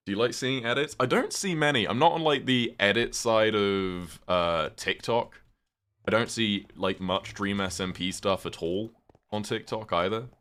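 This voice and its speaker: A man's voice: on the low side, normal speed, fairly bright tone. A young British man chatting casually with his stream chat while playing a game, rambling with lots of fillers. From Britain.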